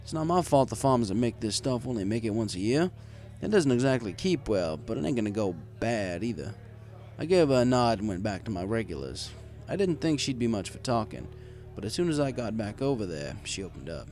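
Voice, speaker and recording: A man's voice: lowish voice, sing-song, a little fast, darkish tone, soft background music. A man reading a first-person story aloud in a wry, conversational way. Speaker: story reader. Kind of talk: first-person scripted fan fiction narration.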